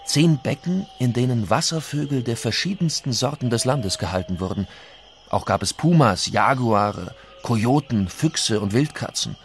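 A man's voice: mid-range voice, expressive, normal speed, a little hiss or hum, lightly acted. A man reading the part of a Spanish conquistador giving his account of what he witnessed. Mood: reporting, composed. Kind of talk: dramatic reading of historical accounts.

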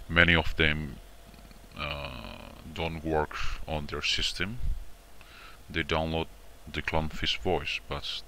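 A man presenting an informal step-by-step software tutorial in a repetitive, instructional way, often checking in with short tags. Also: a bass voice, slow pace, vocal fry, slight background noise.

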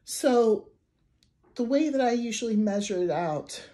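A young adult woman with a raspy voice from the flu, casually talking through a crochet tutorial at a table, chatty with fillers and restarts. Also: fairly high pitch, expressive, unhurried, mellow. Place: indoors at a wooden table. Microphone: camera over a tabletop, hands only.

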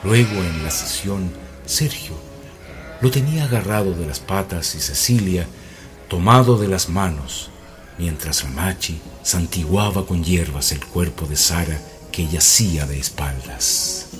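A man's voice: low voice, expressive, unhurried, over music. A man, narrating an eerie rural tale as the scripted storyteller of a radio drama. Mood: storytelling.

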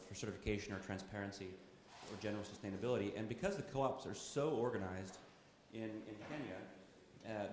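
A man speaking on a panel discussion, explaining at a measured pace with frequent fillers and restarts. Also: fairly low pitch, slight background noise. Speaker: coffee trader on a panel. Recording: echoey conference hall.

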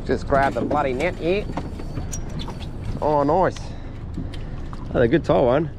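Brief: Australian charter guide, middle-aged, relaxed and instructive, recorded on an action camera in a boat, with clear outdoor noise. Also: male voice, fairly high pitch, slow. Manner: encouraging, instructive, laid-back. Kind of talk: casual on-water coaching. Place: small boat on open water outdoors.

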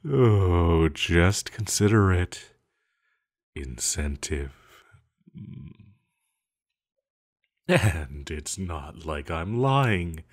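A man playing an ancient banished villain in an intimate roleplay audio, seductive, possessive and scheming, with teasing, lingering pauses. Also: sits low, a little mellow, full character voice. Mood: playful, coaxing.

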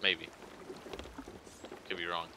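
A man's voice: deep voice, level tone, slow pace. A man chatting casually with a friend during a game, joking with some mild swearing. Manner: joking, mildly profane. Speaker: gamer playing with a friend. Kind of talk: casual gaming chat. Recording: background game noise of sea and boat.

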